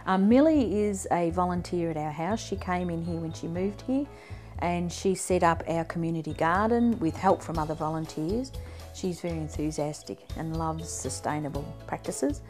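A woman's voice: lowish voice, moderate pace, darkish tone, faint music behind. A middle-aged woman with an Australian accent, a community centre coordinator, speaking proudly and plainly in a sit-down interview. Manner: friendly, proud, plain-spoken.

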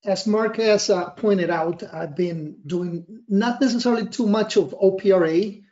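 A middle-aged man with a Costa Rican accent, a native Spanish speaker, explaining slides as a consultant in a wordy, halting way with emphatic stresses. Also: high voice, a little mellow. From Costa Rica. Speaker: risk analysis trainer and consultant giving a presentation. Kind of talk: slide presentation, semi-scripted explanation. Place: small office, indoors. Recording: dull, roomy webcam recording.

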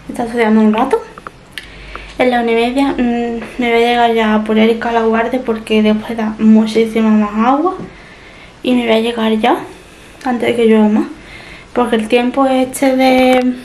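A woman's voice: on the high side, a little mellow, slight background noise. A young woman, chatting to camera in a rambling way as she narrates her chores in a small kitchen. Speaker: family vlogger. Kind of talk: casual vlog chat to camera. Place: small home kitchen indoors.

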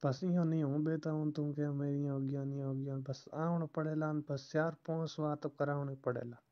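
Caller chatting in a flat, muffled voice. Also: mid-range voice, moderate pace. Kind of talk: casual private phone chat. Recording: dull lo-fi phone call recording.

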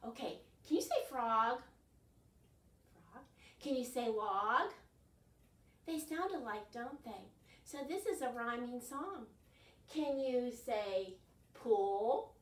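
A woman in her sixties hosting an interactive children's storytime, encouraging listeners to join in, recorded at home by a distant microphone. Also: on the high side. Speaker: children's library storytime host. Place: carpeted living room at home. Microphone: distant camera microphone. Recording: roomy, mic a few metres away.

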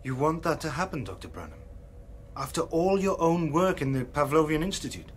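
A man's voice: on the high side, animated, brisk, natural voice. A man playing a wry, politically cynical colleague in a radio drama, questioning in a dry manner. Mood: wry, probing.

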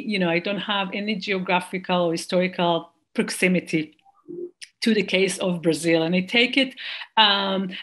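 Woman w/ a foreign accent on a video call, lots of ums and restarts, middle pitch. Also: a bit bright. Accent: non-native English. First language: not English. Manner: hesitant, many fillers and restarts. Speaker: performance artist and lecturer. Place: dark room at home. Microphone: video call laptop mic.

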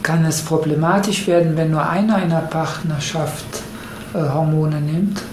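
A man's voice: a little high, steady tone, normal speed. A man asking formal questions as an interviewer. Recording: echoey room with faint noise, off camera.

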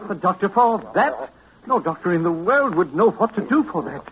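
A man's voice: sits high, big pitch swings, moderate pace, fairly bright tone, exaggerated voice. A man acting a frantic, horrified university professor, agitated and questioning, in 1940s radio drama. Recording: dull, slightly noisy old radio recording.

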